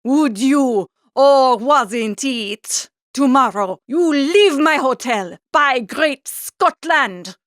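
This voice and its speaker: A blustering hotel manager from a comic storybook, high and excitable. He speaks, dragging out each indignant phrase with great emphasis. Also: very slow, few pauses, no echo, clean studio sound, exaggerated voice. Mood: outraged. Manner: blustering, emphatic, mangled idioms.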